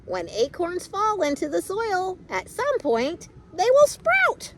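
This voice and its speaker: A woman reading a picture book aloud to young children in an expressive, chatty way with casual asides and exclamations. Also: very high-pitched, slow.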